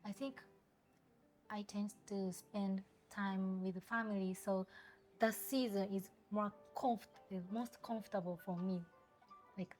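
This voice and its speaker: A woman in her twenties from Japan, with a Japanese accent, speaking English as a second language in a casual interview and pausing often to search for words. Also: medium pitch, steady tone, darkish tone, faint music behind. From Osaka, Japan. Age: 20s. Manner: hesitant, searching for words, many fillers. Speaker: guest.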